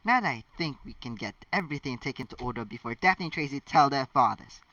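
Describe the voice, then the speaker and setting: A man's voice: medium pitch, expressive, fast talker, sharp tone, dry recording, far from the mic, lo-fi sound. A man voicing a bossy, clever teenage schoolgirl in a pointed, stiff manner for a story. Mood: stiff, accusing.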